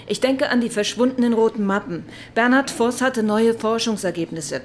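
A fairly high-voiced female chief inspector, played by an actress in a radio drama, with faint ambience. She is stern, questioning and authoritative. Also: moderate pace, a little mellow, slight background noise, lightly acted. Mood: sceptical.

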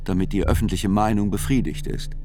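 Low-voiced man narrating over music. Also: brisk. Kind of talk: scripted literary reportage narration for a radio play.

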